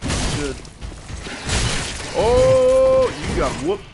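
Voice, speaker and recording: A man's voice: very high voice, sing-song, extremely slow, over loud music. A man commenting casually on a game as a co-host, joking and teasing the player. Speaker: co-host watching and commenting on gameplay. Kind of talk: casual gameplay banter and commentary. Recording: loud noise behind the voice.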